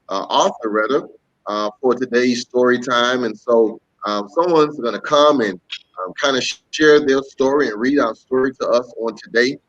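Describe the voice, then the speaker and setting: A man's voice: mid-range voice, a bit bright. A man casually hosting a livestreamed children's story time, greeting viewers and repeating himself as he goes. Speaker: host, pastor. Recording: dull lo-fi webcam stream.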